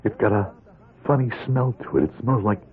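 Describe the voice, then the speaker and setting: An actor playing a bitter, desperate middle-aged ex-convict crook in an old radio drama, with a husky voice. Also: male voice, fairly low pitch, a little fast. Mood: urgent, haunted. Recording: muffled, narrow-band old radio recording.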